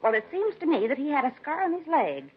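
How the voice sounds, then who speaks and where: Fussy, gossipy female neighbor, old radio drama. High voice with lively swings. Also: fast. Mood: chatty, prim. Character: nosy, prim, chatty female neighbor, easily scandalized. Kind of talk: 1950s radio drama acting. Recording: muffled 1950s radio recording.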